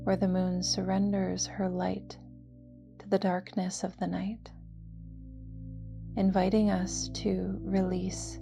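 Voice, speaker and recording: A woman leading a guided yoga nidra meditation in a soft, soothing, near-whispered voice with gentle, measured phrasing. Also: mid-range voice, level tone, gravelly, music in the background.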